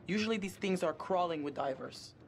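Female game character, practical dive-boat captain, wary and frank, mid pitch, faint ambient noise. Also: lively intonation, brisk. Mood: sceptical. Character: wary, practical young woman boat skipper. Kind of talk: video game cutscene acting.

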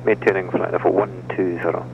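Gravelly, brisk male controller on radio. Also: low voice, little pitch movement. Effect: aviation radio. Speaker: air traffic controller. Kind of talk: procedural radio clearances. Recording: muffled radio with faint engine hum.

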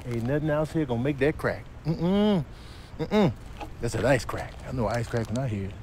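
A man's voice: medium pitch, expressive, normal speed, a little mellow, a little hiss or hum, pitched below the performer's own voice, close to an everyday voice. A man voicing a wary, stubborn lynx who mutters in slang in a comic animal voiceover.

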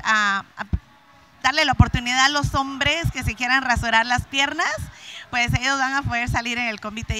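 A woman's voice: a little high, bright, forceful tone, slight background noise. Guatemalan lady selling crafts, nervous, lots of ums, handheld mic in a hall. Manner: nervous, halting with fillers and repeats. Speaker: craft vendor. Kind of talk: interview. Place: indoor event hall.

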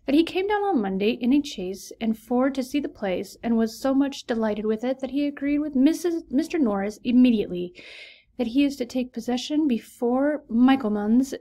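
A woman reading aloud, voicing a fussy, excitable middle-aged mother who exclaims and insists in a raised voice. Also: on the high side.

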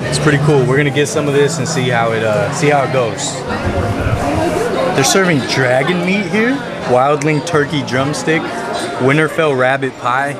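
A man's voice: medium pitch, normal speed. A young man hosting a casual vlog, chatty and joking, filming himself at arm's length in a busy themed restaurant. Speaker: vlog host. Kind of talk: casual vlog talk to camera. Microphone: handheld camera at arm's length. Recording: loud music and crowd noise. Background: loud music and crowd chatter.

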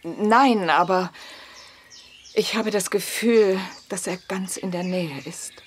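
An actress playing an anxious, gentle, hopeful lady of the house in a German audio drama, polite and earnest. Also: mid-range voice, takes it slowly, a little hiss or hum, natural voice.

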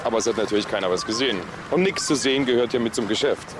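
An actor playing a blunt, worldly junior police detective with a casual, matter-of-fact manner in a German crime radio drama. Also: male voice, mid-range voice, racing, natural voice. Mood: dry, assured. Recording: noisy outdoor ambience.

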